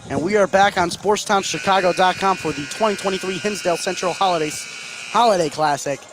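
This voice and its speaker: A high-voiced male sports commentator calls a basketball game as it happens. He is excitable and leans hard into the big moments. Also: fairly quick, music in the background, rough recording. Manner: excitable, emphatic on big plays. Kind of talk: live basketball play-by-play commentary.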